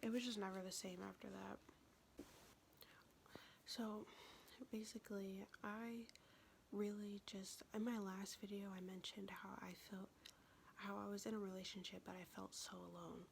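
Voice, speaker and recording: A young woman telling a personal story in a soft, confessional whisper, vlog style. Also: mid-range voice, little pitch movement, moderate pace, creaky, long pauses, a little hiss or hum.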